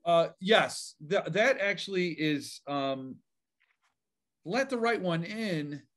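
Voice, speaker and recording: A man hosting a book channel interview, enthusiastic and halting, full of fillers and restarts. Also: high voice, expressive, a bit bright. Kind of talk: casual interview conversation.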